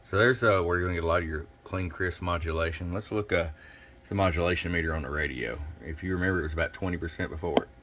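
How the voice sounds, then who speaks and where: Male, very low pitch. Radio technician doing a casual hands-on demo, plain shop talk, clearly noisy recording. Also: fast, fairly bright tone.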